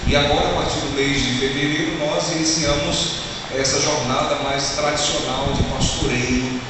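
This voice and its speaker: Man from alagoas, pastor preaching in a church, earnest direct manner, long hall reverb, room noise clearly behind. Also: medium pitch, level tone, normal speed. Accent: Brazilian Portuguese, Alagoas. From Brazil, Maceió. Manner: earnest, addresses the congregation directly. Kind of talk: sermon. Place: church sanctuary. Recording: echoey church hall, far from the mic.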